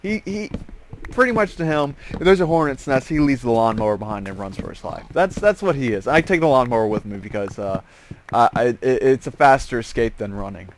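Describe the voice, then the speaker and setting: A man telling a casual story as he hosts gameplay, rambling and halting, often repeating and restarting his phrases. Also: medium pitch, lively intonation, a little hiss or hum. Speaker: host of a gaming series.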